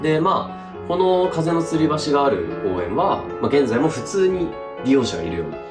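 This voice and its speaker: A man's voice: fairly high pitch, very animated, moderate pace, loud music behind the voice. A man hosting a haunted-spot exploration, chatting casually as he presents.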